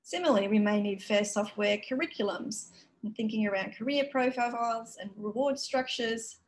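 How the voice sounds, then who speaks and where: A woman giving a conference keynote over a video call, explaining in a measured way with frequent fillers. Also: fairly high pitch, rough recording. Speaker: keynote speaker. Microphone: video call webcam.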